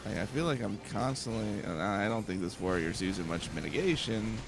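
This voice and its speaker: A man giving casual, low-key video game commentary, musing aloud to himself as he plays. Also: animated, brisk, vocal fry, music in the background. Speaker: let's play streamer.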